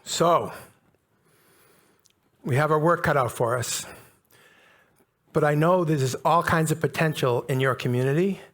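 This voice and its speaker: Middle-aged North American man lecturing a live audience, fairly high voice, conversational, emphatic, leans on key words. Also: unhurried, long pauses, fairly bright tone. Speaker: speaker giving a public talk.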